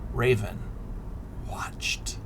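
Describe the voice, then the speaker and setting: A middle-aged man reading a picture book aloud expressively to children, deliberate and dramatic, often dropping into a hush. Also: a little hiss or hum. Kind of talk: expressive children's read-aloud with asides and questions.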